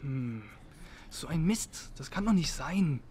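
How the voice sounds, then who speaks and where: A young male student played by a man in an audio drama, with a slightly high voice. He talks colloquially, a teasing yet concerned buddy. Some background noise sits behind him. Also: animated, slow, a little mellow. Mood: focused, frustrated. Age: young adult. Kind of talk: naturalistic audio drama dialogue.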